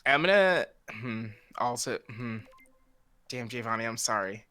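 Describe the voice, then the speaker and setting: Bright-voiced gamer giving a run-on alibi. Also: medium pitch, animated, a little slow. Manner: explains alibi in long run-on stretches. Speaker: game stream guest. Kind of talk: casual gaming chat.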